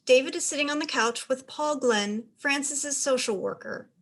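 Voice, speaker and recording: A woman's voice: sits high, moderate pace, a bit bright. A woman narrator reading stage directions in scripted narration for a play reading.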